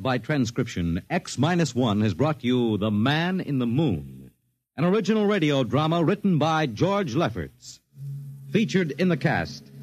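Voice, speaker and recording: A vintage radio announcer, a man with a slightly high voice and hugely animated intonation. His delivery is formal and theatrical over a music bed. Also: rough recording. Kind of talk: 1950s radio announcing and dramatic scripted narration.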